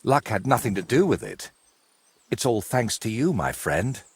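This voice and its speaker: An actor playing a wry, courteous, middle-aged medieval painter in a video game, with a husky voice and a wordy, theatrical way of talking. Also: male voice, lowish voice, big pitch swings, normal speed, darkish tone, no echo, exaggerated voice. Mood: earnest. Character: wry, wordy ageing medieval painter, robbed but polite. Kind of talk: game cutscene dialogue.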